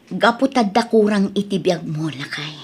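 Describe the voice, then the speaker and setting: A woman acting a husky, devout Ilocano wife. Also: medium pitch, normal speed, lightly acted. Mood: chiding. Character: concerned, devout wife chiding her troubled husband. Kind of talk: Ilocano radio drama acting.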